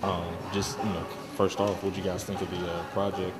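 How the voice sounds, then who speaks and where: A man's voice: deep voice, level tone, moderate pace, heavy background noise. A man interviewing audience members, asking questions in a casual, hesitant way. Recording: echoey hall with music.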